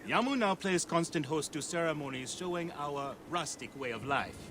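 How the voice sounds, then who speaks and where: An actor playing an uneasy, reflective townsman with a formal, measured manner, in a video game. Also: on the high side, lively intonation, background noise, natural voice. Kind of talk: video game dramatic acting.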